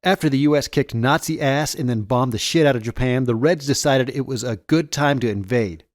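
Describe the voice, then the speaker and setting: A man's voice: mid-range voice, a little fast, dry recording, studio quality, close to an everyday voice. A man voicing a bitter, rebellious teenage boy with a sardonic, emphatic manner. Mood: sarcastic zeal.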